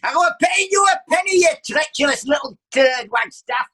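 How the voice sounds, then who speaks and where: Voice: high-pitched, lively intonation, moderate pace, sharp tone, full character voice. An actor playing a cheeky, theatrical swindler standing as defendant in a courtroom play. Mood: furious, scornful. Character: defiant, cheeky swindler Horace Binks, down on his luck. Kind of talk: courtroom stage play acting.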